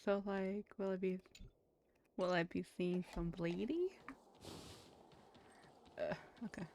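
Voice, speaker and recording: A woman's voice: lowish voice, steady tone, takes it slowly, darkish tone. Chatty woman streaming a game. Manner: chatty, thinking aloud in fragments. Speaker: game livestreamer. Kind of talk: casual gameplay commentary. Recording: faint background noise behind voice.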